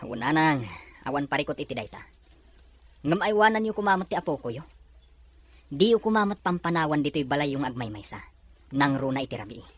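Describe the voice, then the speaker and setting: A man's voice: on the high side, big pitch swings, fairly quick, long pauses. A man playing a concerned, dutiful father in an Ilocano drama, speaking earnestly. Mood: earnest request. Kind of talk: Ilocano radio drama acting.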